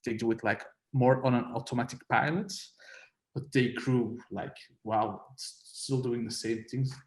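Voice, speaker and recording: A man from Belgium, a filmmaker on a panel, answering earnestly and haltingly with repeated words. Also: mid-range voice, flat delivery. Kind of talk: conversational interview answers. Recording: echoey room.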